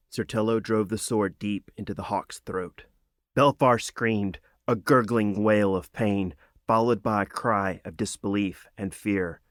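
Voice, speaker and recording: A man reading a children's fantasy audiobook as a dramatic, earnest storyteller with measured phrasing. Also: on the low side, dry recording, clean studio sound. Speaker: author reading own audiobook.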